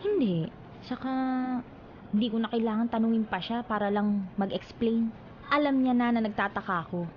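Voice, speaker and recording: A Filipino actress playing a frustrated, volatile and jealous wife in a melodramatic radio drama. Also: female voice, a little high, normal speed, dull audio, a little hiss or hum, lightly acted. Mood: hurt, resentful. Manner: emotional, melodramatic outbursts. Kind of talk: Philippine radio drama acting. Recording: radio drama with music underneath.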